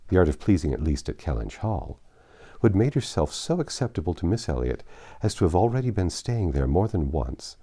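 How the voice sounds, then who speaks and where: Deep, husky male audiobook narrator. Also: dark tone. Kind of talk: literary audiobook narration, reading a classic novel.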